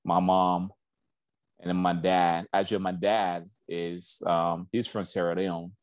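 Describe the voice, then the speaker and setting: A man's voice: low voice, level tone, measured pace, smooth, no echo, clean studio sound. A man in his forties from Liberia, reflecting on his life as a guest in a casual interview, with lots of "like" and "you know".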